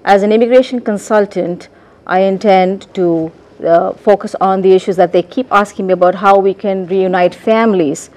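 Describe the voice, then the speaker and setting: Middle-aged woman from india, candidate in a tv debate, measured talk with uhs, lapel mic. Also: mid-range voice, fairly even intonation, darkish tone. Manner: measured, with fillers and repeats. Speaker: political candidate. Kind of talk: debate answer. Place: television studio.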